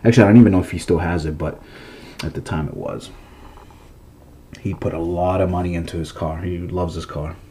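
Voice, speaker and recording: A man with a blocked, stuffy nose talks through his toy car collection in a loose, rambling vlog. His voice sits low and mellow, and he keeps restarting his sentences. He sounds casual and chatty, recorded on a camera mic at home. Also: brisk, long pauses, slight background noise. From Massachusetts, USA.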